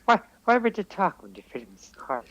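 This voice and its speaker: A man's voice: sits high, big pitch swings, brisk, highs cut off, background noise, pitched above the performer's own voice. A man voicing a fretful middle-aged woman who fusses and exclaims her way through a running film commentary. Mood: alarmed fussing.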